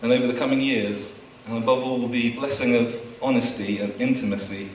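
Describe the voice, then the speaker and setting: A man's voice: medium pitch, fairly even intonation, fairly quick. Man, best man, dry teasing wedding speech, taped far off with faint noise. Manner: dry, teasing humour read from a prepared speech. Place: wedding reception room. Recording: echoey room taped from far off.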